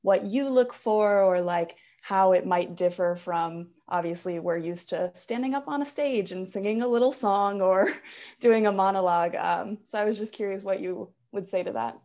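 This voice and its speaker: A woman's voice: medium pitch, normal speed, a little mellow. A young adult woman asking a question on a panel in a casual, conversational way. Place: plain indoor room. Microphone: video call.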